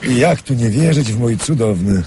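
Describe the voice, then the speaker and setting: A man's voice: medium pitch, moderate pace, slight background noise, close to an everyday voice. An actor playing an affable young businessman in a radio drama, boasting and chuckling as he tells stories. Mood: breezy, pleased. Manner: chuckling, storytelling, animated. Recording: radio play with murmuring crowd behind.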